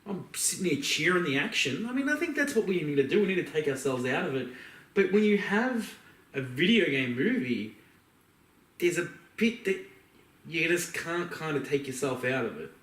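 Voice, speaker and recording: Echoey, higher-pitched man, chatting. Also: very animated, normal speed, a bit bright, recorded from a distance. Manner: rambling, emphatic, sweary. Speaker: podcast co-host. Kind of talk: casual opinionated chat about films. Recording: roomy echo, off-mic.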